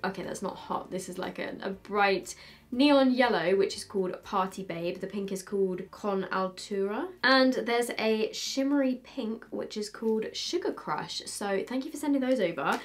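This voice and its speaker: A woman's voice: mid-range voice, faint music behind. Young British woman, twenties, chatty beauty vlogger, casual desk-side unboxing. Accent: British English. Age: 20s. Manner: chatty, thinks aloud, quick asides. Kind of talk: casual chat, unboxing makeup. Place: bedroom filming setup, indoors.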